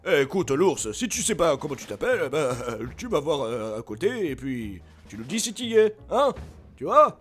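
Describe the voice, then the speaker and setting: A man's voice: on the high side, big pitch swings, normal speed, fairly bright tone, slightly rough, faint music behind, heavily acted. A man voicing a jolly, teasing, chummy fellow who chuckles as he talks.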